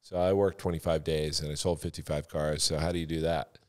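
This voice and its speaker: A man's voice: extremely low pitch, flat delivery, brisk, a little mellow. A man in casual podcast banter, telling sales stories assertively and repeating himself for emphasis. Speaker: former car salesman and floor manager, podcast talker.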